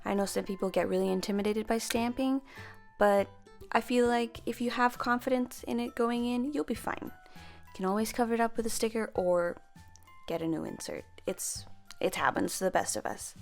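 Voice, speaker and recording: A woman's voice: fairly high pitch, fairly even intonation, moderate pace, vocal fry, soft background music. A young woman narrating a voice-over craft tutorial, chatty and friendly, sometimes correcting herself as she explains. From half Filipino, grew up speaking Tagalog. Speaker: planner stamping vlogger.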